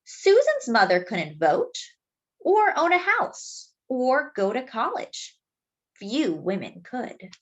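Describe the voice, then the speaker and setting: A woman reads a picture book aloud expressively, explaining things simply and deliberately as if to young children. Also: high-pitched, wildly swooping pitch, muffled recording. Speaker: children's storybook reader.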